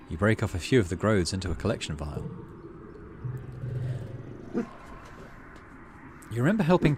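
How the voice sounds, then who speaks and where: A man with a fairly low voice plays through a game and reads its text aloud. His intonation swings widely as he moves between measured narration and muttered asides. There is clear noise behind him. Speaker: gaming channel host. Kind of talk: casual game playthrough, reading on-screen text aloud. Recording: noticeable background noise.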